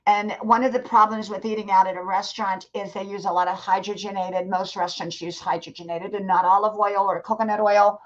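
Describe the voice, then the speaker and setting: A woman in her sixties talks in a friendly, rambling way, circling back over her own words as she encourages her viewers. Her voice sits at an ordinary middle pitch. The stream audio is a little dull and lo-fi. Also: little pitch movement, fairly quick, few pauses. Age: 60s. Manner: chatty, repeats herself, encouraging. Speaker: live stream host. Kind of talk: casual live stream chat with viewers.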